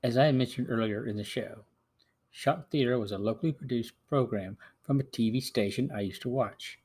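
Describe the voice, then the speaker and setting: Husky man reading a podcast review. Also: mid-range voice, moderate pace, a little mellow. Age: middle-aged or older. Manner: reads from a script, stumbling occasionally. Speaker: podcast host. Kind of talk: scripted podcast film review.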